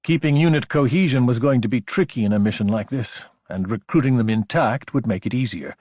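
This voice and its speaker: A man's voice: medium pitch, brisk, darkish tone, no room sound, studio quality. A man reading scripted fiction as an audiobook narrator.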